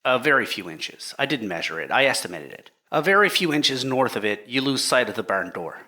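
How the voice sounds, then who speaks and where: A man's voice: medium pitch, very animated, brisk, pushed and bright. A man playing a careful, literal-minded civil engineer testifying deferentially in a dramatic reading of a trial. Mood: careful, earnest.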